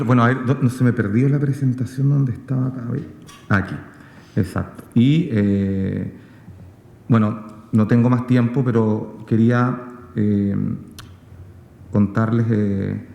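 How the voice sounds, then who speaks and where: A man giving an informal conference presentation, hesitant and halting, often checking with his audience. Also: fairly low pitch, warm tone. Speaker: presenter, sound documentary maker. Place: reverberant conference room. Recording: echoey hall with long reverb.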